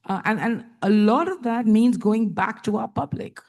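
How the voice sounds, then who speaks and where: A woman's voice: on the high side, normal speed, a little mellow. Earnest woman from India on a panel. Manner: earnest, thoughtful, with fillers. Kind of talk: panel discussion remarks.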